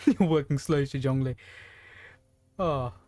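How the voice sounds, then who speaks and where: A streamer talks through a video game in a casual, conversational way. The speech rambles as thoughts form out loud, addressed to chat, with game music playing faintly behind. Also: medium pitch, slow, dry recording. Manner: rambling, conversational, thinking aloud. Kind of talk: casual gaming stream commentary, chatting with viewers.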